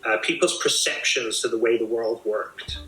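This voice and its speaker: A man's voice: medium pitch, normal speed, pushed and bright, echoey room, soft background music, rough recording. A man hosting a casual video chat, explaining his ideas at length in a thoughtful way. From Australia. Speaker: host.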